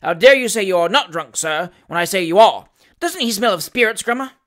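A storybook reader voicing a pompous male magistrate who blusters loudly and is quick to rage. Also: medium pitch, lively intonation, natural voice. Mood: furious. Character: pompous, irascible male magistrate, thundering and easily enraged.

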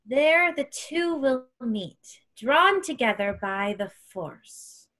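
A woman's voice: high-pitched, animated, fairly bright tone, full character voice. A woman playing a cryptic alien seer who speaks in solemn, oracular broken phrases. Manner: slow, oracular, broken syntax.

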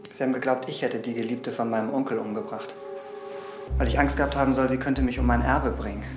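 An actor playing an earnest, insistent young heir in a German crime radio drama. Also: male voice, medium pitch, fairly even intonation, fast talker, over music, close to an everyday voice. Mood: troubled.

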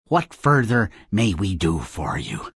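A stately elder king from a fairy-tale game. His voice is badly husky and gravelly, slow and formally courteous. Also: low voice, wildly swooping pitch, no room sound, clean studio sound, heavily acted. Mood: grateful, stately. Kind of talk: video game fairy-tale character acting.

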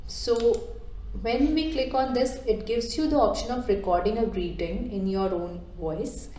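A woman's voice: on the high side, a little slow, a little hiss or hum. Woman coach in echoey room. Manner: calm step-by-step explaining with frequent ums and uhs. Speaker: digital transformation coach. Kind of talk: screen-recorded software tutorial.

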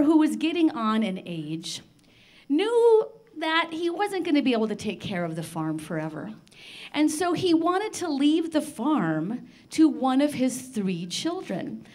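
An older woman telling a children's story live in an expressive, theatrical manner with dramatic pauses. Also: sits high. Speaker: storyteller on a children's radio show. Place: large library room, live before a seated audience. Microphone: handheld microphone.

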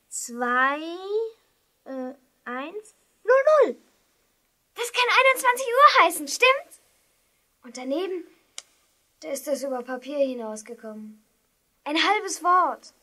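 A woman playing an eager, excitable child detective who talks urgently in a children's audio drama. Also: sits high, slow, pauses often, full character voice. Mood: eager urgency.

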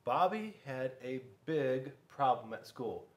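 A middle-aged man reading a children's story aloud in a classroom, expressively, with dramatic pauses and questions to young listeners. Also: mid-range voice, lively intonation. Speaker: school resource officer reading to children. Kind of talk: animated storybook read-aloud. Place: small school classroom, indoors.

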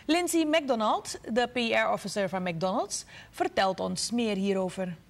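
A woman from Suriname reading the news in a measured, formal way from a studio. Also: mid-range voice. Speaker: news anchor. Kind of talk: scripted news narration.

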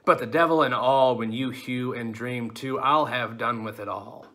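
Man acting a bright-voiced, defiant young outlawed woodsman. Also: medium pitch, moderate pace, close to an everyday voice. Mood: weary, self-scolding. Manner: theatrical, archaic phrasing, sudden swings from musing to outcry. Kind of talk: classical stage monologue.

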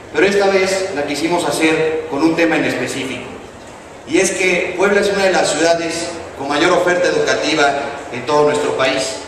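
A man's voice: a little high, fairly bright tone. A young man from Mexico giving an earnest, formal speech at a podium. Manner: earnest, thanking officials, measured oratory. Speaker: youth office official giving a speech. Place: large indoor exhibition tent with audience. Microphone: podium microphone, recorded from far in the crowd. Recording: echoey hall from far off, noisy.

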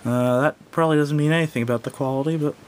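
A man's voice: fairly even intonation, fast talker. Hesitant man reviewing a gadget, noisy recording. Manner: hesitant, rambling, self-correcting. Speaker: product reviewer. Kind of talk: casual unscripted hands-on gadget review. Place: indoors at a wooden table. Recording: noisy home recording with low rumble.

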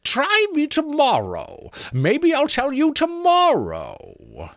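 Storybook undead skull, mocking and creaky, drawls its taunts; a man puts it on. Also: very high voice, wildly swooping pitch, fairly bright tone, no room sound, clean studio sound, voice pushed up, full character voice. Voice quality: creaking, rattling voice. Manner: teasing, drawn-out, slow delivery.

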